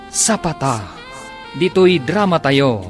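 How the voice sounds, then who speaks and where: A man's voice: fairly high pitch, big pitch swings, takes it slowly. A man with a Filipino accent narrating dramatically as the announcer of a radio serial. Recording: loud music behind the voice. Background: music.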